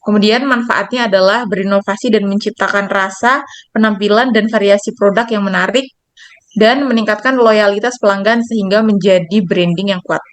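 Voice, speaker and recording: A woman's voice: mid-range voice, steady tone, moderate pace, no room sound. A young woman student presenting her group's product in class, reading slides and repeating her points. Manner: reads slides, repeats herself.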